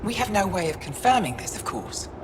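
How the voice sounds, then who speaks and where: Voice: mid-range voice, sing-song, brisk, natural voice. An actor playing a worried, skeptical alien researcher in a video game, speaking in a sober, pointed way. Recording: loud game ambience and vehicle noise.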